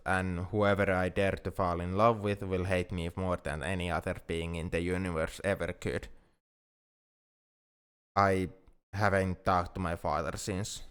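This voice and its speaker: A man acts out a self-doubting alien friend in an intimate ASMR roleplay monologue. His voice is deep and clean, with a resonant smoothness. He speaks earnestly in careful, formal wording that halts as he goes, anxious and lovesick. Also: a bit bright. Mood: sad, anxious.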